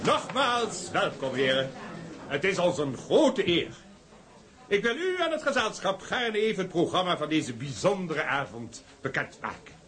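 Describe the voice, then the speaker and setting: An actor playing a formal, courteous gentleman host in a Dutch period drama, speaking in a stately, ceremonious way with small hesitations. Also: sits high, sing-song, a little slow, full character voice. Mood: ceremonious, welcoming. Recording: chattering party crowd behind.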